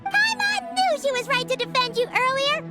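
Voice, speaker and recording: A woman voicing a small, excitable, childlike fairy companion in a video game, chatty and exclamatory. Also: very high voice, moderate pace. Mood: inquisitive, chatty. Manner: exclamatory, refers to herself in third person. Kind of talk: video game cutscene acting. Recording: music playing behind the voice.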